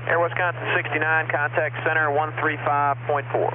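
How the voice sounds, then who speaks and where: Male departure controller, fairly high voice, brisk clipped calls, tinny hissy radio. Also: little pitch movement. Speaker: air traffic departure controller. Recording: tinny radio channel with static hiss.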